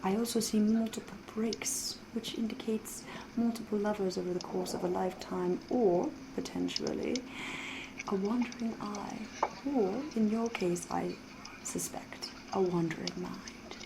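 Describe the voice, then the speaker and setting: A woman playing a sly, elegant, flirtatious vampire in a soft-spoken, half-whispered ASMR roleplay, with genteel phrasing and teasing pauses. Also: medium pitch, steady tone, gravelly, noisy background. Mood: probing, teasing.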